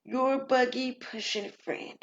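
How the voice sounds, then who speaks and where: A woman's voice: high voice, fairly even intonation, slow, highs cut off. A woman voicing a frail elderly woman's tender farewell letter in a spoken short story.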